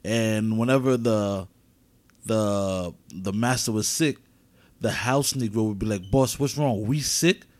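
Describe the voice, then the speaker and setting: A man's voice: fairly low pitch, takes it slowly, a little mellow. A man co-hosting a sports podcast, chatting casually with plenty of slang. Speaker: podcast co-host.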